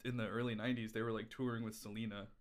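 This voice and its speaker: A man in his thirties with an American accent and a slightly low voice talks as an interview guest. He reminisces casually, in short informal bursts strung together with "like". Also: level tone. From Texas, US. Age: 30s. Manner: informal, halting phrases full of "like". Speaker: interview guest, drummer and musician.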